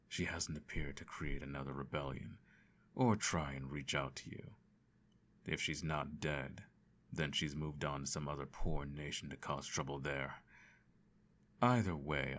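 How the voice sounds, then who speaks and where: A man playing a stern, cold evil emperor in an audio roleplay, speaking to a child in a measured, imperious, formal way. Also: fairly bright tone, full character voice. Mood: cold, disdainful. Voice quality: very deep voice. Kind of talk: roleplay audio, bedtime storytelling and lecture.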